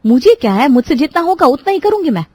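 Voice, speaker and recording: An overworked young daughter-in-law in an animated family tale, acted by a woman storyteller. She speaks higher, with a resentful, complaining edge and a defensive manner. The sound is dry and close. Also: quick pace, no echo. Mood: exasperated. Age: young adult. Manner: complaining, exasperated. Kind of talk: Hindi cartoon story dialogue.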